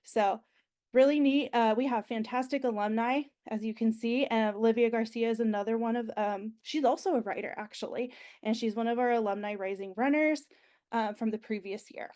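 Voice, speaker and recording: A woman's voice: on the high side, brisk, darkish tone. A young woman with a nasal voice, recovering from being sick, giving an enthusiastic, chatty orientation presentation with frequent ums. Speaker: university alumni relations staff presenter.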